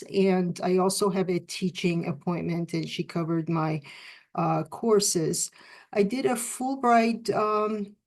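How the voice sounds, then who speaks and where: A woman's voice: mid-range voice, darkish tone. Woman, middle-aged, food science professor, lecture, video call, hesitant fillers. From Turkey, raised in Italy. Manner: frequent ums and uhs, unscripted.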